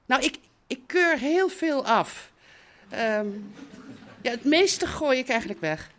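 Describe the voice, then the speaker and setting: A middle-aged woman playing the earnest mother of grown children in a radio drama, halting and often correcting herself. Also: sits high, lively intonation, fairly bright tone, heavily acted. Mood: evasive, hesitant. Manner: halting, evasive, self-correcting. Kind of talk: naturalistic radio drama acting.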